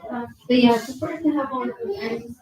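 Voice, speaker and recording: A young woman giving a nervous museum presentation to an audience, reading from notes with many fillers and apologies, her soft low voice held away from a handheld mic indoors. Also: fast. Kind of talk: reading prepared talk to a live audience. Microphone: handheld mic held at a distance.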